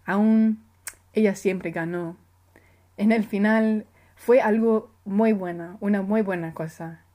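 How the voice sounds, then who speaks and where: Mellow-voiced woman reading her essay. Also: medium pitch, fairly even intonation. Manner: careful, measured reading with small self-corrections. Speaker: writer. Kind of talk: reading her own personal essay aloud.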